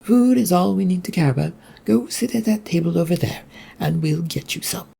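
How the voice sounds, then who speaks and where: Narrator putting on a kindly old woman cook, high-pitched, motherly, gleeful, fantasy story. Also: animated, moderate pace, gravelly, warm tone, slightly rough, exaggerated voice. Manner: kindly, motherly, food-obsessed. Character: gentle, gleeful old lady castle cook.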